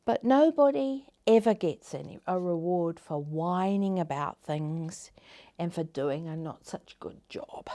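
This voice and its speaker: Slow, expressive woman storyteller. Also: fairly low pitch. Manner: dramatic, dropping into whispers for effect. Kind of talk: expressive children's storybook reading.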